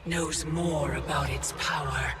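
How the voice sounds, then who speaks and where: Slow, imperious female Titan. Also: deep voice, steady tone, gravelly, full character voice. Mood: cold, taunting. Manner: measured, commanding, formal. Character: imperious female Titan of time, cold schemer. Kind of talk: video game cutscene acting. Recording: loud music behind.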